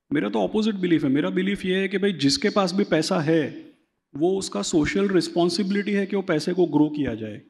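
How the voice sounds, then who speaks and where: Brief: male finance expert, gravelly, higher-pitched, explanatory, reverberant room. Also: darkish tone. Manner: explanatory, seeks agreement with repeated tag questions. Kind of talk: conversational interview, Hindi-English mix.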